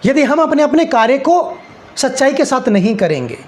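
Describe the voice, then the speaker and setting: A man delivering a patriotic spoken address in an earnest, exhorting manner, with deliberate pauses and repeated phrases. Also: very high voice, big pitch swings, a little mellow. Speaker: speaker addressing viewers on a patriotic occasion.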